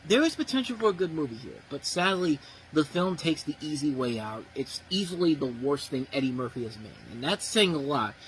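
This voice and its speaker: A man's voice: on the high side, big pitch swings, a little fast, slight background noise, rough recording. A man giving a blunt, profane, exasperated movie review, ranting about what went wrong. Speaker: film reviewer.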